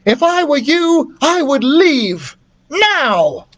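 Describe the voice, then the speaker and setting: Man voicing a determined, high-pitched young girl. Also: very animated, very slow, fairly bright tone, exaggerated voice. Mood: fierce, commanding. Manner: firm, urgent, commanding. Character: brave, commanding young girl, quick and determined.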